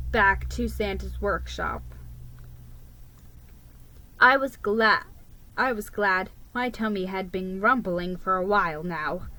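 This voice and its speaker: Slow, bright-voiced woman reading a story. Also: medium pitch, rough recording. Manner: deliberate, expressive, with stumbles over words. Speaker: storybook reader. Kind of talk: dramatic children's story reading.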